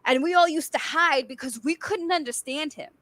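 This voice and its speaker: Animated Portuguese-born woman with a Boston accent. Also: high voice, normal speed, dry recording. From Massachusetts and the Azores. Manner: animated storytelling, frequent laughter and swearing. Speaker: guest, military veteran. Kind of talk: casual chat.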